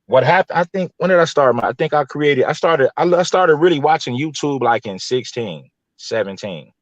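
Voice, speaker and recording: A man's voice: fairly high pitch, expressive, moderate pace, dry recording, muffled recording. An American man around his late thirties from Los Angeles, talking casually as an interview guest in a rambling, colloquial way, often repeating himself. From Los Angeles, California. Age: 30s or early 40s. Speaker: YouTube content creator, guest.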